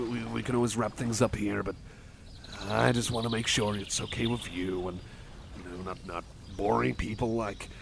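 A man voicing a shy, kindly cyborg friend, rambling haltingly and apologetically in a casual roleplay ASMR chat. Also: mid-range voice, creaky, a bit bright. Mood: shy, apologetic. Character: shy, kindly, rambling male cyborg friend, eager game-music nerd. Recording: noisy outdoor ambience with birdsong.

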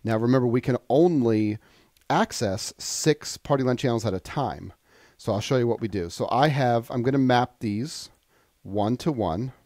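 A man demonstrating software in a casual, conversational tutorial style, explaining things informally step by step. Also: medium pitch, moderate pace, no echo, studio quality.

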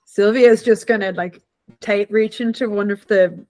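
A woman's voice: mid-range voice, fairly even intonation, measured pace, darkish tone, rough recording. A woman talking casually as a player in a tabletop roleplaying game over a video call.

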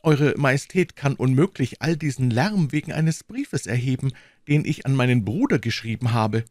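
A man's voice: medium pitch, animated, moderate pace, a little mellow, dry recording, clean studio sound, pitched above the performer's own voice, close to an everyday voice. A man voicing a frightened young queen who pleads and stammers in an audiobook reading. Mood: pleading. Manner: trembling, stammering pleas.

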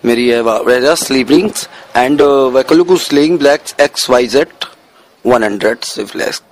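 A man's voice: medium pitch, drawn-out words. A man making a prank phone call, talking casually in an insistent, poker-faced manner. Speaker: prank caller posing as a medical store customer.

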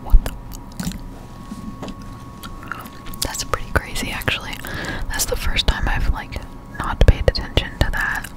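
Whispering young woman, close-up ASMR. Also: low-quality recording. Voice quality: breathy unvoiced whisper. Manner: casual, halting, slangy explanation. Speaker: gaming ASMR streamer. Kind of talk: whispered ASMR gameplay commentary. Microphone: close-up desktop condenser microphone.

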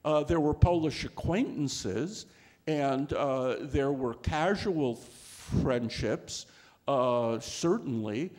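A man's voice: medium pitch, slow, darkish tone. A man in his sixties, a scholar on a panel, speaking into a handheld mic on a lecture hall stage, thoughtful and full of restarts.

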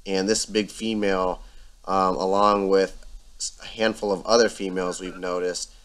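A man calmly narrating his fishing video in a voice-over, explaining with frequent ums. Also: low-pitched, normal speed, smooth. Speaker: angler and channel host.

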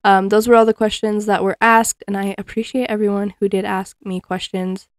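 A woman's voice: mid-range voice, flat delivery, normal speed, no room sound, clean studio sound. A young woman vlogging casually from her living room while folding laundry, chatting with frequent ums and little laughs, picked up by a camera set up across the room. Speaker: cleaning vlogger. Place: living room at home, indoors. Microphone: fixed camera across the room.

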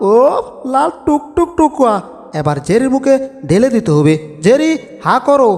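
Excitable, very high-pitched cartoon tomcat. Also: male voice. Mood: scheming. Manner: exclaiming, talking to himself, theatrical. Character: excitable, boastful, lovestruck male cartoon cat. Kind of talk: Bengali cartoon dub comedy. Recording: long reverb with music.

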